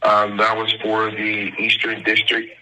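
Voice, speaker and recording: A man's voice: lowish voice, monotone, measured pace, bright, forceful tone. A man on a phone call speaking formally and insistently as a caller posing as an official, in legal language and addressing the listener as ma'am. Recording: telephone line with faint noise.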